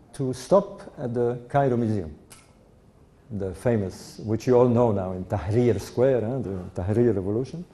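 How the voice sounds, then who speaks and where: Older man with a mixed accent, mellow voice. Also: on the low side, gravelly. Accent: mixed European-Egyptian. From Egypt. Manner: unhurried, anecdotal, chuckles at his own story. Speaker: speaker giving a talk to an audience. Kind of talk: storytelling talk.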